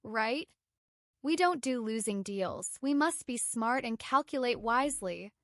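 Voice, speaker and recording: Woman, thirties, breezy confident read, a touch high, dry sound. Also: animated, a little slow, dry recording. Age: 30s. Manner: chatty, self-assured, playful. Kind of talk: scripted narration of a clip.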